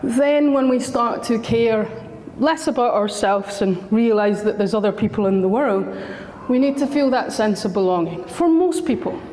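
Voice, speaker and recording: A woman's voice: on the high side, lively intonation, moderate pace, warm tone, a little hiss or hum. A Scottish woman working as a school counsellor, giving a friendly, chatty talk to parents with a slightly sore throat. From Scotland. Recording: echoey hall recording.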